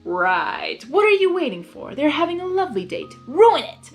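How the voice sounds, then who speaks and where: A woman's voice: high voice, sing-song, normal speed, soft background music, pitched above the performer's own voice. A woman voicing a small male shoulder devil, mischievous and vain, with a goading, emphatic manner in a fan fiction reading.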